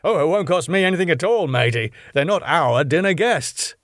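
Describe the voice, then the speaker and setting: A man's voice: on the high side, lively intonation, moderate pace, fairly bright tone, no room sound, studio quality, voice pushed up. A man with a British accent voicing a fussy, pompous older male club owner prone to dramatic outbursts.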